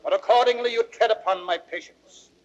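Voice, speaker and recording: A man's voice: high voice, brisk, dry recording, natural voice. A man acting a weary, imperious Shakespearean king. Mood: stern anger. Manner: declamatory, formal. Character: weary, proud king and troubled father, brooding and imperious. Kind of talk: classical Shakespearean radio acting. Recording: dull vintage audio recording.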